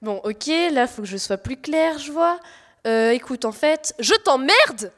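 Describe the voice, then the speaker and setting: High young female voice, exasperated modern wife played by a young actress, colloquial, blunt, echoey hall, stage comedy. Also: animated, a little slow, fairly bright tone. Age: young adult. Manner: colloquial, hesitant then blunt. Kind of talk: staged comic audio fiction.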